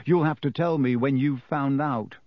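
A man's voice: mid-range voice, moderate pace, darkish tone, no echo, studio quality, lightly acted. A man voicing a glum, resigned young schoolboy with a heavy, bitter manner in an audiobook.

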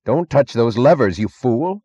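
A man's voice: lowish voice, lively intonation, fairly quick, darkish tone, dry recording, dull audio, lightly acted. Man playing a proud, indignant old scientist. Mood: indignant outrage. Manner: educated, imperious, scornful outrage. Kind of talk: audiobook character acting.

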